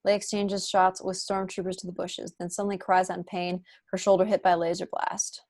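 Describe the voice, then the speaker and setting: A woman's voice: on the low side, little pitch movement, fairly quick, darkish tone. A young adult woman reading scripted stage directions as narrator at an online table read. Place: room at home, video call.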